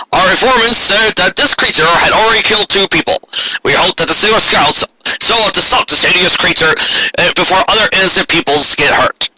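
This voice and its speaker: A man's voice: high-pitched, background noise. A man voicing a formal TV newscaster in an announcer-like manner, reporting an emergency.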